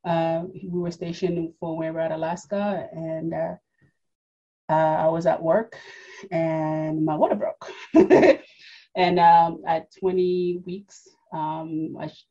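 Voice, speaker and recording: Husky mid-forties woman, low mellow voice. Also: level tone, takes it slowly, muffled recording. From Democratic Republic of the Congo, raised in New York. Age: 40s. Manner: reflective, many fillers and restarts. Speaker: podcast guest. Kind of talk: personal story in an interview.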